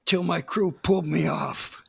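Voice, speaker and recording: Slow gravelly male narrator. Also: a little high, steady tone. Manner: gritty, deliberate storytelling. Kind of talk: hard-boiled first-person dramatized narration.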